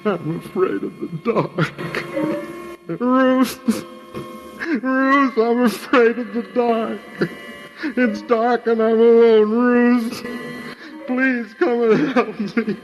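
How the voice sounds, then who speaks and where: A man's voice: extremely high pitch, fairly even intonation, very slow, dull audio, exaggerated voice. An actor playing a frightened, bewildered, pleading man in a nightmare, in 1950s radio drama style. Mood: desperate, afraid. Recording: vintage radio recording with music behind.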